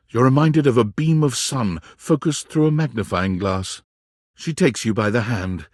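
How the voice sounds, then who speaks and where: A man's voice: lowish voice, dry recording, studio quality. A man reading second-person game narration in a wry, storybook manner. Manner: wry, storybook-style delivery.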